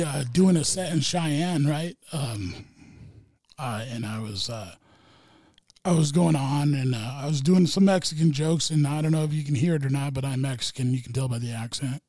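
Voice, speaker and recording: A man's voice: a little high, moderate pace, darkish tone, no echo, clean studio sound. A man on a podcast as a guest comic, chatting loosely and swearing a lot. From Wyoming. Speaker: stand-up comedian, podcast guest. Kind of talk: casual chat.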